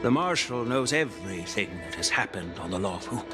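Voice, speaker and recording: A man playing a sardonic old general in a video game, speaking in a deliberate, theatrical way over music. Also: lowish voice, animated, natural voice. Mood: stern, ominous. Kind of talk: scripted video game voice acting.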